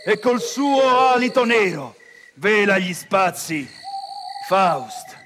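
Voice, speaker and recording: An actor playing a brooding scholar-magician, declaiming poetic verse in a measured way in a classical drama. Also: male voice, high-pitched, very animated, fairly bright tone, slight background noise, exaggerated voice. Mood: ominous.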